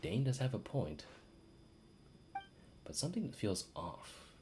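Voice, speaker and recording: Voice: mid-range voice, very animated, slow, warm tone, slight background noise. A game streamer giving casual live commentary, swearing freely and playfully, shifting between muttering and exclaiming. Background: game music.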